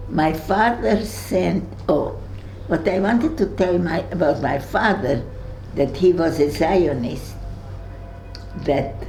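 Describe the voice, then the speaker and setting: An older woman with a Hungarian accent, recounting memories to young visitors, halting and searching for words. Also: sits low, warm tone, echoey room, slight background noise, poor audio. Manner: halting, searches for words, many fillers and restarts. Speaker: Holocaust survivor speaking to visitors. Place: room in her home.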